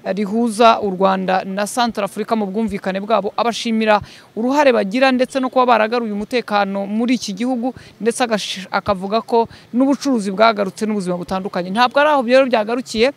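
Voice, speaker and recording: A woman news reporter delivering a formal field report in a brisk manner. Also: mid-range voice, vocal fry.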